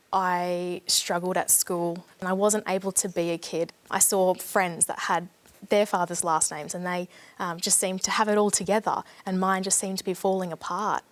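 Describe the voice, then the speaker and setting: A young adult woman, a studio guest, speaking earnestly and reflectively about her past. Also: fairly low pitch. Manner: earnest, reflective, speaking softly at hard points. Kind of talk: studio discussion.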